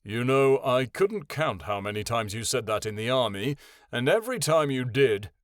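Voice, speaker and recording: A man's voice: mid-range voice, animated, moderate pace, no room sound, studio quality, close to an everyday voice. Man putting on a grouchy, growling hoofed beast. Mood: uneasy, grumbling. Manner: growling, reluctant, snappish. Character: grouchy, distrustful male hoofed creature, weary former soldier.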